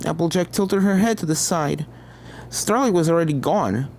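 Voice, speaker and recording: A woman's voice: lowish voice, animated, moderate pace, darkish tone, slight background noise. A woman reading a fan fiction story aloud as narrator, in a scripted, dramatic storytelling style.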